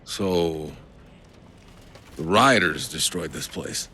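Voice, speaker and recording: An actor playing a terse, dry, unflappable soldier for hire in a video game cutscene. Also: male voice, deep voice, takes it slowly, pushed and bright, heavily acted. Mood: curious, terse. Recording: clear background noise.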